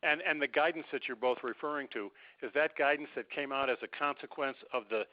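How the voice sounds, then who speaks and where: A man's voice: on the high side, fast. A man calling into a conference call with informal, insistent questions, repeating himself to get confirmation. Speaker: caller asking questions. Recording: dull lo-fi phone line.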